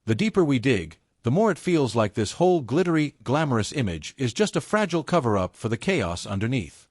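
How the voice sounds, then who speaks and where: Man, scripted gossip narration, expressive pitch, insinuating storyteller, dry close sound. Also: a little fast, no room sound. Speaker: narrator of a celebrity gossip video.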